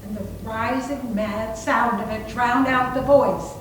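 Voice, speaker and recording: Woman narrator for staged ghost story: suspenseful, drawn-out delivery, far from mic in a reverberant room. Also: a little high, fairly even intonation. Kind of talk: dramatic scripted narration of a horror story. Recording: very echoey room, distant, faint noise.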